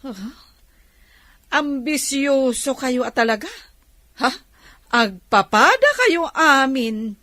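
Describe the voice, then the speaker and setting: A spiteful middle-aged aunt from a radio drama, acted by a woman. Her voice sits fairly high and swings widely as she taunts and scorns whoever she questions. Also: animated, takes it slowly, pauses often, pushed and bright, dry recording, full character voice. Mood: mocking, scornful.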